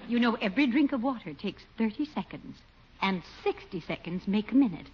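An actress playing a prim, relentlessly efficient secretary in a 1940s radio sitcom, lecturing and correcting in a brisk way. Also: female voice, medium pitch, animated, slightly rough. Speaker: secretary character. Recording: muffled 1940s radio broadcast, faint hiss.

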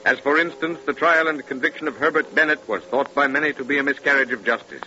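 Male grave true-crime narrator, deliberate 1930s radio drama delivery, very forceful, muffled old broadcast sound. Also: medium pitch. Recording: dull, lo-fi 1930s radio recording.

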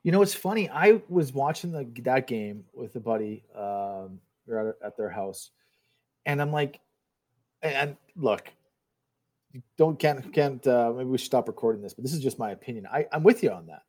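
A man from Canada in a casual sports chat, measured and hedging, stammering as he starts. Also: medium pitch, animated, darkish tone, slightly rough. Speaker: podcast co-host.

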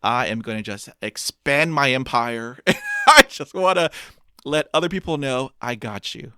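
A man's voice: medium pitch, huge pitch swings, unhurried, bright, forceful tone, no room sound, clean studio sound. Upbeat man in his fifties who stutters. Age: 50s. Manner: stutters with repeated sounds and blocks; upbeat, motivational, repeats phrases for emphasis. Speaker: guest. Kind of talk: interview conversation.

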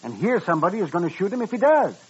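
A crusty old American frontier doctor in a 1950s radio western. The voice is very raspy and fairly high, swooping up and down as it grumbles. The vintage recording is dull. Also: male voice, very animated, heavily acted. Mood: wry, intrigued. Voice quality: raspy, husky. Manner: cantankerous, drawn-out, swooping delivery.

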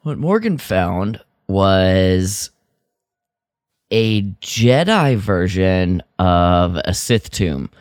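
A man co-hosting a casual podcast discussion, talking in a halting way as he thinks aloud. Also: low voice, sing-song, no room sound, studio quality. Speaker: podcast co-host.

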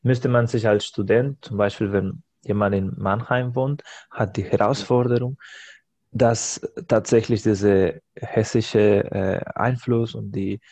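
Low-voiced man hosting, halting. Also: steady tone, a little mellow, dry recording. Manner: halting, searching for words with many fillers. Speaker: podcast host. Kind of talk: casual interview.

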